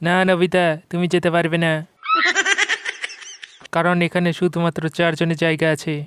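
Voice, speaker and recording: A woman's voice: on the low side, flat delivery, moderate pace, noisy background, natural voice. A woman voicing a smug, boastful rich schoolboy in a cartoon dub, showing off and taunting with spite.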